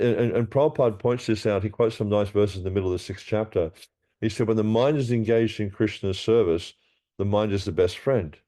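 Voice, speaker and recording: A man's voice: deep voice, mellow. A man giving a scripture class, reading verses aloud and explaining them with frequent restarts and repeated words.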